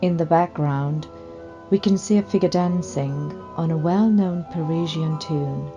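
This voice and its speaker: A woman reading scripted dramatic narration for a radio play in a measured storytelling way. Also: sits low, highs cut off, over music.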